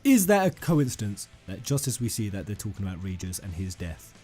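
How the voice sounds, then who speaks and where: A man hosting a fan theory video, rambling and emphatic, addressing his viewers directly in an excited commentary style. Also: fairly low pitch, a little fast, breathy edge. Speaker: gaming YouTuber, host. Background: music under the voice.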